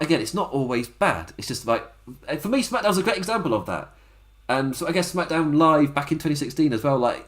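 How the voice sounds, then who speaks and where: A man hosting a casual solo wrestling review from home, rambling and laughing often. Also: on the high side. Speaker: wrestling podcast host. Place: home setup on camera.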